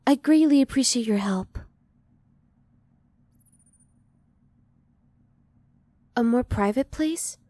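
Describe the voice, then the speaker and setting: Soft, mellow, curious alien woman, acted out by a woman. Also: high voice, no room sound. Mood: hesitant, polite. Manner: formal, measured, precise diction. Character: curious, emotionally reserved female alien, polite and analytical. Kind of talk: ASMR roleplay.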